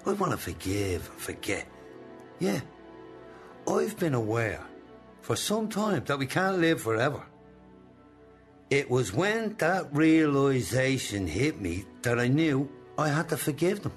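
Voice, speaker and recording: A coaxing, controlling old Irish boss. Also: male voice, medium pitch, big pitch swings, soft background music. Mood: quietly menacing. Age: older. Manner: slow, deliberate, coaxing. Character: smooth, controlling old Irish male boss, benign menace. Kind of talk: radio drama acting.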